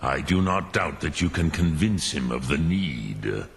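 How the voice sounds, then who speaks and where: A man voicing an ancient, wise dragon mentor in a fantasy video game, gravelly, ponderous and musing, with pauses and dragon-tongue words. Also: a bass voice, animated, full character voice.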